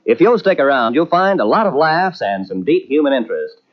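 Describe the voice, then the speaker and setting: A man's voice: medium pitch, big pitch swings, soft background music. A man announcing credits and station breaks in formal 1940s radio style. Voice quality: brisk, formal announcer delivery. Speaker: network announcer. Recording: dull lo-fi old radio tape.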